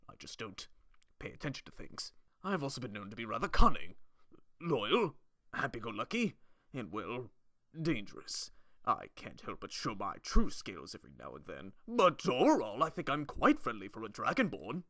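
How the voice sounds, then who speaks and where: A man's voice: medium pitch, wildly swooping pitch, moderate pace, sharp tone, no echo, clean studio sound, heavily acted. A man voicing a friendly, buffoonish red dragonborn sorcerer who speaks in a grandiose, formal and theatrical manner. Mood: jovial, boastful.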